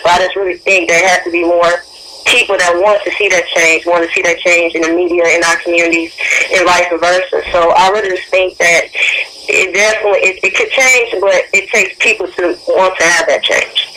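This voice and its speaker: A woman's voice: on the low side, pushed and bright, a little hiss or hum, poor audio. A young woman giving earnest interview answers as a guest, repeating key phrases for emphasis. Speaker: interview guest, youth activist.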